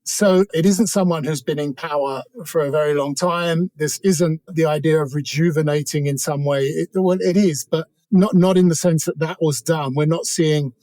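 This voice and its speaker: Dark-toned Englishman, higher-pitched, talking discursively. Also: steady tone, normal speed, hardly any pauses, no echo. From England. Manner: discursive, opinionated, wry. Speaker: interview guest, author. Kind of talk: conversational analysis.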